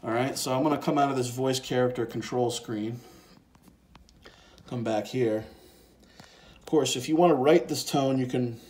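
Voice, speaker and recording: A man's voice: medium pitch, moderate pace, long pauses, a little mellow. A man giving an informal, laid-back hands-on demonstration, thinking aloud as he goes. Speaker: presenter of a music gear tutorial. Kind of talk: casual hands-on software and synth demonstration. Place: indoors beside a synthesizer keyboard. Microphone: handheld phone and separate handheld microphone.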